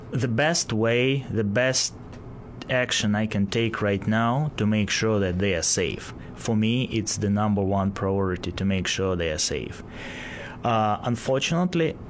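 A man in his thirties from Ukraine, speaking careful, halting English with a Ukrainian accent as a guest in a radio interview. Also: fairly low pitch, a little hiss or hum. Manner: halting, careful non-native English with pauses and restarts. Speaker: guest, police officer.

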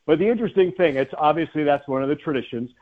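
A man's voice: on the high side, quick pace. An American man, a play-by-play announcer, chatting casually as a radio guest over a guest line. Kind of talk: casual joking interview chat. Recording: slightly dull lo-fi guest line.

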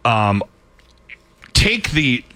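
A man's voice: mid-range voice, very animated, drawn-out words, sharp tone, dry recording. A man hosting a radio show, chatting casually and telling stories in an emphatic, opinionated way. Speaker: radio host. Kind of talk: casual morning radio banter. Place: radio studio. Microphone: close studio microphone.

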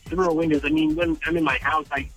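Flustered high-voiced man on the phone. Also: level tone, fast talker, music in the background. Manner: hesitant, defensive, flustered. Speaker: phone caller, prank victim. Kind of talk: spontaneous phone conversation.

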